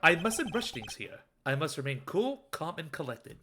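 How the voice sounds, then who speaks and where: A man's voice: fairly high pitch, big pitch swings, moderate pace, noisy background. A man voicing a composed, pompous male prosecutor with stiff, precise speech in an amateur game dub.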